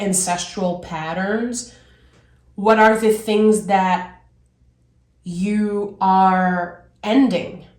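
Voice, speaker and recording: A woman in her thirties, hoarse and raspy from being sick, giving a casual tarot reading with many fillers, musing aloud and getting enthusiastic. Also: mid-range voice, a little mellow, roomy sound. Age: 30s. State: hoarse from illness. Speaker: tarot reader.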